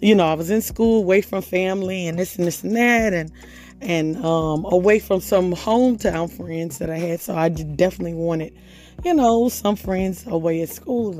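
A woman hosting a devotional podcast, talking hoarsely in a casual, conversational way and reading scripture aloud. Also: fairly low pitch, mellow. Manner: conversational, reads scripture aloud, chatty fillers. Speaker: podcast host.

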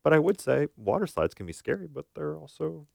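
A man's voice: a little high, very animated, a little fast, vocal fry, a little mellow, dry recording, studio quality. A man co-hosting a talk show as a therapist, giving casual, explanatory advice and often asking questions to check for agreement.

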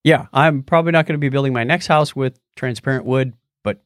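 A man's voice: mid-range voice, brisk, no echo, clean studio sound. A man hosting a podcast, chatting casually and explaining science news in a conversational way. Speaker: podcast host.

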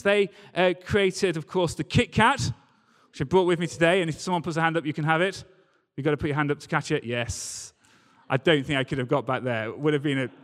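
A man giving a sermon in a conversational, storytelling way, with emphatic repetition, recorded live in a large church hall. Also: a little high. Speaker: preacher. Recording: live talk in a reverberant hall.

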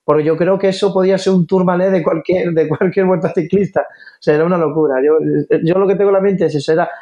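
A man's voice: high voice, steady tone, fast talker, mellow, highs cut off. A middle-aged man from Galicia with a faded Galician accent mixed with other Spanish, an interview guest who talks earnestly and hesitantly, often repeating words. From Galicia, Spain. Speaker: interview guest, former football player. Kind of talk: casual conversational interview.

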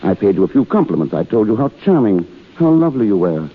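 A man playing an earnest, easily flustered gentleman on vacation in a 1930s radio drama. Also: lowish voice, big pitch swings, fast, slightly rough. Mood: earnest, puzzled. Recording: muffled vintage 1930s radio broadcast.

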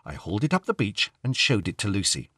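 A man's voice: on the low side, wildly swooping pitch, quick pace, sharp tone, breathy edge, dry recording, clean studio sound. A man reading a first-person short story aloud as a dramatic narrator. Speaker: story reader.

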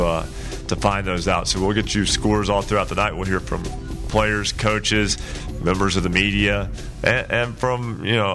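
Tired-voiced radio sports host. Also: male voice, fairly low pitch, a bit bright. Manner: chatty, laughs often, says he is tired. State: slightly rough voice from little sleep. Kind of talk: sports talk show hosting.

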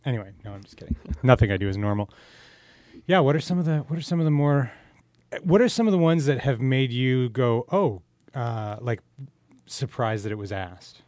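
Male podcast host, mid-pitched, informal and jokey interviewer, close and dry sound. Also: animated, moderate pace, a little mellow, dry recording. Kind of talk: casual joking interview chat.

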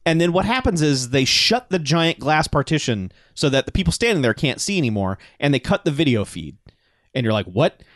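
A man's voice: on the high side, big pitch swings, fairly quick, fairly bright tone, no room sound, studio quality. A man co-hosting a casual podcast, swearing freely and laughing as he animatedly retells a movie's plot. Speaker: podcast co-host. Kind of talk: casual chat about a movie.

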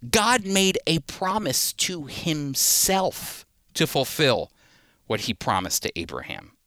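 A man with a fairly high, very bright voice teaches scripture on the radio in a conversational way. He explains with emphasis and talks directly to the listener. The sound is close and dry. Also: dry recording. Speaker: radio Bible teacher and host.

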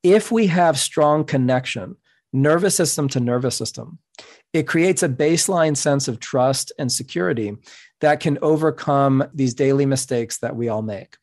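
A middle-aged man whose first language is Spanish, speaking as a podcast guest in an earnest, measured, explanatory way. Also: mid-range voice, a little mellow. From New York City. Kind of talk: reflective interview talk.

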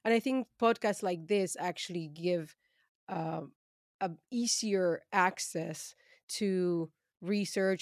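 Co-host, woman, lowish voice, dry close sound, measured and halting. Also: a little mellow, no room sound. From Latin America. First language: Spanish. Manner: thoughtful, hesitant with restarts. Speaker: podcast co-host. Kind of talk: casual panel discussion.

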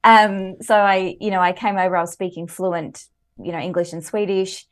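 A woman's voice: mid-range voice, little pitch movement, moderate pace. A woman with an Australian accent, a guest author on a podcast, telling personal stories animatedly and laughing easily. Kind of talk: conversational interview.

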